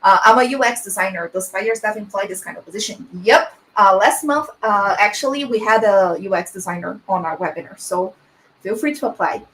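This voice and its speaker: A woman's voice: mid-range voice, lively intonation, brisk, a bit bright, slight background noise, poor audio. A young woman with a Brazilian Portuguese accent hosting a webinar in casual chat, laughing often and filling with "like". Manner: chatty, laughs often, fills with "like". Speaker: webinar host. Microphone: video call.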